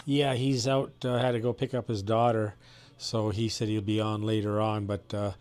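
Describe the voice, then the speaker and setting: A man's voice: fairly low pitch, level tone, moderate pace, over a noisy crowd. A man chatting casually as a ham radio operator, easygoing and reassuring.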